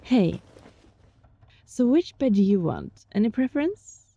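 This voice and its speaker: Woman acting as young female kitsune, gentle and sly, very mellow breathy voice, slow coaxing delivery, ASMR roleplay, close muffled recording. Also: mid-range voice, sing-song, exaggerated voice. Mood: friendly, relieved. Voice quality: soft, breathy.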